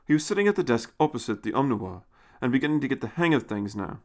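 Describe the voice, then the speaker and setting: A man's voice: medium pitch, expressive, fast, darkish tone. A man reading a scripted science fiction story as its narrator.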